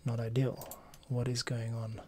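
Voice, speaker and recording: A man's voice: medium pitch, monotone, unhurried, darkish tone. A middle-aged man thinking aloud in casual commentary as he edits audio. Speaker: podcast audio editor, streamer. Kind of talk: casual work-along commentary. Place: small home studio room. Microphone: microphone on arm, close to mouth. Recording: clear keyboard and mouse clicks.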